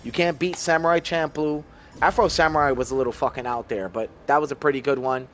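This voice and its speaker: A man's voice: on the high side, fairly even intonation, quick pace, a bit bright, slight background noise. Laid-back cursing streamer in his thirties. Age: 30s. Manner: loose and profane, talks to chat as bro. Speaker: game streamer. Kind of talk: casual live-stream chat.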